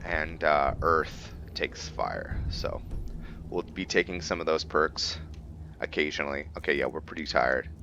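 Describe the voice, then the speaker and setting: A man giving casual commentary on a roleplay video game, thinking aloud with halting pauses. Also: extremely low pitch, pushed and bright, dull audio, a little hiss or hum. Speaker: gaming video host.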